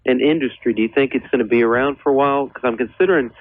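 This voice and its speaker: A man's voice: medium pitch, rapid-fire, no echo. American man calling in, thin phone line. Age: 30s. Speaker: caller to a radio advice show. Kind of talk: casual phone conversation.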